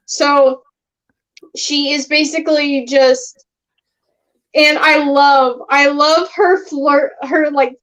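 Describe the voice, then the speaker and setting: Young woman chatting on a podcast, real high voice, kinda hesitant, keeps restarting. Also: steady tone, slow pace, a bit bright, low-quality recording. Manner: hesitant, restarts often, earnest. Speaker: podcast co-host. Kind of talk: casual chat.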